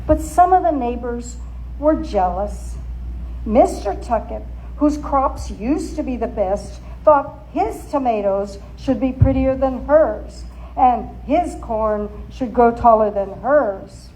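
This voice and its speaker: A woman reading a story aloud as a dramatic narrator, deliberate and expressive. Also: a little high, poor audio. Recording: faint background noise.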